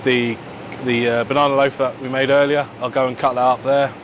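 A man's voice: medium pitch, level tone, brisk, background noise. A man, a chef explaining his work casually and matter-of-factly to camera. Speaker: head chef at a football club. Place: indoors, club kitchen and dressing room.

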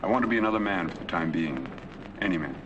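An actor playing a middle-aged wealthy dying man in a 1940s film, calm and persuasive. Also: low voice, fast, muffled recording. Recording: old film soundtrack, distant and noisy.

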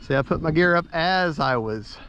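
Guy chatting casually while flying rc, kinda high voice, rambly, muffled outdoor audio w lots of wind noise. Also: expressive, normal speed, pushed and bright. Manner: rambling, folksy, repeats himself. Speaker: RC plane pilot and vlogger. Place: outdoors at an open model airfield.

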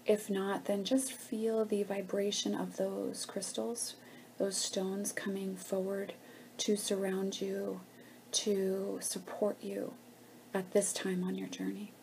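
Slow, mellow woman leading guided meditation. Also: mid-range voice, level tone, gravelly, a little hiss or hum, rough recording. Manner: slow, gentle, hushed instruction with pauses.

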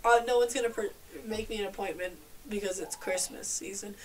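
A young woman with a stuffed-up, congested voice chats loosely on a home podcast. She talks freely and laughs often, sounding sick but relaxed. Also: medium pitch, a little fast, over a noisy crowd, rough recording. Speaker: podcast co-host. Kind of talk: casual chat.

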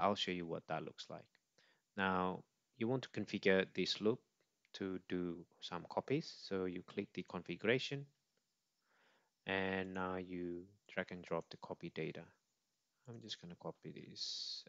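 A man presenting an informal step-by-step software demo, on a slightly dull recording with mouse clicks. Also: low voice, measured pace, gravelly, no room sound.

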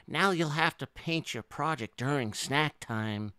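A man's voice: fairly high pitch, a little slow, bright, forceful tone, dry recording, voice pushed up. A man voicing a stern, fussy female raptor schoolteacher in a children's storybook, chiding and bossy. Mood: stern, scolding. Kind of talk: children's picture book read aloud.

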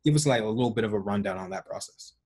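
A man's voice: lowish voice, rapid-fire, a little mellow, breathy edge. A man in his thirties hosting an interview over a video call, friendly and encouraging, asking long rambling questions. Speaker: podcast host. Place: room with bookshelf at home. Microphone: webcam on a video call.

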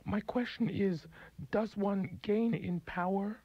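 A man's voice: sits high, steady tone, slow pace, husky, dry recording. A man hosting a radio interview, asking careful, probing questions about singing technique. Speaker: radio host. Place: radio studio. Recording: dull lo-fi 1980s radio tape.

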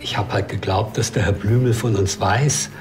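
An actor playing an earnest lover under suspicion in a television crime comedy. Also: male voice, on the low side, fairly even intonation, moderate pace, music in the background, close to an everyday voice.

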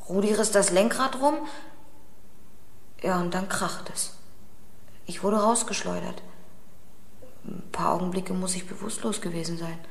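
An actress playing an earnest, subdued young accident witness in a German radio crime drama. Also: female voice, medium pitch, echoey room, close to an everyday voice. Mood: shaken, recounting.

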